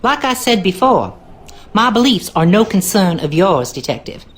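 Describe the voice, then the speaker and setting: Actress as a sassy, swooping Southern servant. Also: female voice, mid-range voice, very animated, moderate pace, natural voice. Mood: guarded. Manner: sassy, curt, guarded. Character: sly, haughty Southern voodoo-believing servant woman. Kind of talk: video game character acting.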